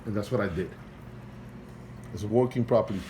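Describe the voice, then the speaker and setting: A man with a non-native English accent, talking casually at home in a halting, repetitive way while filming an aquarium on his phone. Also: fairly low pitch, warm tone, a little hiss or hum. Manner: halting and repetitive, restarts phrases, earnest and pleading. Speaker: hobbyist vlogger. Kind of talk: casual home video walkthrough. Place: home, beside an aquarium indoors. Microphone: phone held by the speaker while filming.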